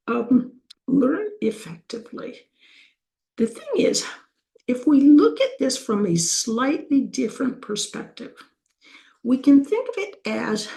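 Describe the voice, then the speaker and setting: A woman's voice: fairly high pitch, creaky, mellow, poor audio. An older woman giving a conversational university lecture with slides, sometimes restarting and drawing out key words for emphasis. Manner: conversational, self-correcting, slows down to stress key terms. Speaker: professor giving a talk.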